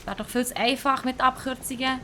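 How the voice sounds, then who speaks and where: A woman's voice: sits high, steady tone, a little fast, bright, forceful tone, slight background noise. A young Swiss woman with a Swiss German accent, live-streaming a game with chatty, self-mocking commentary and English exclamations thrown in. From Switzerland.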